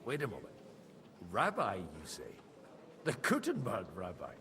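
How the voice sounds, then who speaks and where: A weary middle-aged medieval priest in a game, dry n grumbly but really lively pitch, noisy ambience. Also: male voice, normal speed, exaggerated voice. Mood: wry, curious. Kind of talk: video game dialogue acting.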